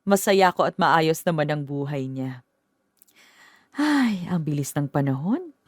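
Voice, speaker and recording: A woman's voice: deep voice, lively intonation, measured pace, dry recording, studio quality. An actress playing a doting, talkative middle-aged mother in a radio drama, with an effusive, gushing way of talking.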